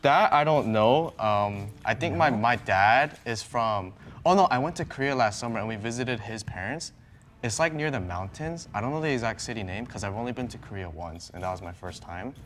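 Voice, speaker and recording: A man's voice: fairly low pitch, animated, normal speed, fairly bright tone, slight background noise. An eighteen-year-old man in sales, speaking casually and a bit flustered as a game contestant. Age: young adult. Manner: flustered, laughing it off.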